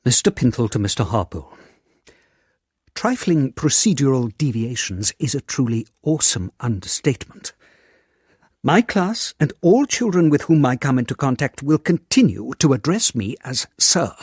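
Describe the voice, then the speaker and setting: Husky, indignant British old-school schoolmaster. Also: male voice, medium pitch, very animated, normal speed, darkish tone, dry recording, studio quality. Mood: indignant, pompous. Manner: pompous, stern, emphatic. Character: indignant, reactionary old-school male teacher. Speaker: actor. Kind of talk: radio drama letter reading.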